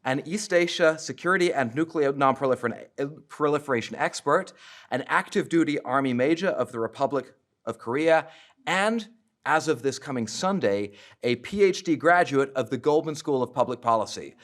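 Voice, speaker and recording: Male host at a university podium reading formal introductions, slightly halting. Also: medium pitch, lively intonation, a bit bright. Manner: reads bios with frequent "uh" pauses. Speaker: event host introducing speakers. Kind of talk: formal introduction at a public talk. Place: university lecture hall. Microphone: podium microphone.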